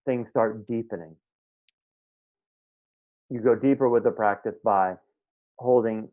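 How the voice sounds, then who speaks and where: A man teaching a meditation class in a casual, conversational way, often checking that his students follow. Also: lowish voice. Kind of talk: live online class teaching. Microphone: video call. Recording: tinny phone-like line.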